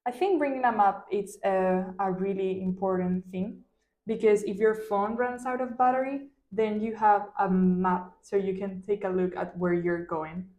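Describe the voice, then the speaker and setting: A young woman whose first language is Spanish answers test questions in a steady, thoughtful way. Her pitch sits in the middle range. The room echoes around her. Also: moderate pace. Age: 20s. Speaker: student, exam candidate. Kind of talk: practice exam answers.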